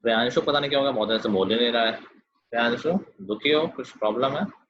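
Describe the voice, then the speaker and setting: A man's voice: mid-range voice, quick pace, a bit bright. A male teacher running an online math class and teasing his students. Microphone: video call. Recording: lo-fi video call.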